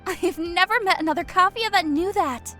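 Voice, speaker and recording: A woman narrator acts out a meek young alien woman with a high, shift+ voice. She is timid and submissive, speaking hesitantly as an audiobook character over faint music. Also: moderate pace, bright, forceful tone. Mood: excited. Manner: hesitant, meek, brief replies.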